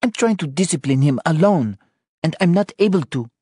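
An exhausted, accusatory mother in an audiobook, acted by a male narrator. The voice is pushed well up in pitch and swings widely as she scolds, with a dry sound. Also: animated, moderate pace, a little mellow, no room sound. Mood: weary, resentful. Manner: accusatory, exasperated. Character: exhausted, angry mother scolding her wayward teenage son. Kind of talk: audiobook character dialogue.

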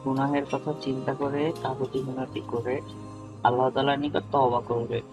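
A man's voice: medium pitch, little pitch movement, normal speed, close to an everyday voice. A young man playing a friendly, earnest student who explains religion to his friend in scripted cartoon dialogue, with music behind. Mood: earnest, instructive.

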